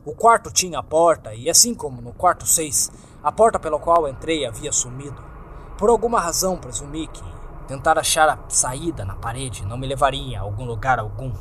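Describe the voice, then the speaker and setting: A man's voice: medium pitch, expressive, brisk, fairly bright tone, a little hiss or hum. A man with a Brazilian accent reading a scripted horror story as narrator, in a dramatic, suspenseful, theatrical way. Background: music.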